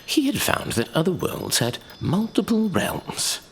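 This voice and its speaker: An actor playing an elder mentor in a fantasy audio drama, telling dark war history in a breathy, theatrical way. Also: male voice, sits high, wildly swooping pitch, a little slow, a little hiss or hum, full character voice. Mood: explanatory.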